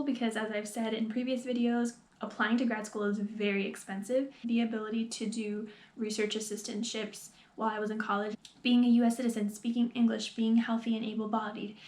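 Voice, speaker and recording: A young woman, talking earnestly to the camera in a personal vlog. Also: fairly high pitch. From New York, USA. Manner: earnest, reflective, quick and talkative. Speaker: PhD student vlogger. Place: small room with plain wall, indoors. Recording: echoey room.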